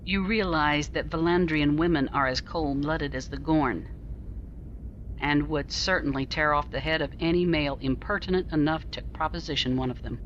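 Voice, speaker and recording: A woman playing a commanding starship captain in a fan audio drama, speaking with dry, crisp authority. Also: low-pitched, moderate pace, muffled recording, slight background noise, natural voice. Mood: stern, measured.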